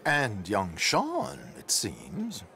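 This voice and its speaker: A man's voice: on the low side, huge pitch swings, drawn-out words, creaky, pushed and bright, a little hiss or hum, full character voice. An actor playing a suave, theatrical male conman in a video game, speaking in a florid, genteel way. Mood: measured.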